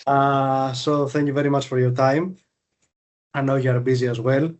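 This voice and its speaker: A man's voice: mid-range voice, steady tone, normal speed. A man hosting an interview, asking long, loose questions full of fillers. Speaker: podcast host, recruiter. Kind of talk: interview questions, casual chat.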